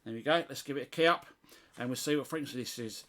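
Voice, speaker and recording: A man's voice: medium pitch, fast, fairly bright tone. A middle-aged British man giving a casual hands-on demonstration, thinking aloud as he goes. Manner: unscripted, thinking aloud while testing. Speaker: CB radio hobbyist presenter.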